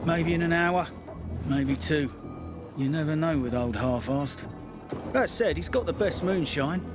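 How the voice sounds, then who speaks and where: Game casting: a man plays an easygoing boozy local, voice a bit high, casual. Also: unhurried, music in the background, natural voice. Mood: laid-back. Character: laid-back male drinker idly waiting in line. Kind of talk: video game cutscene acting.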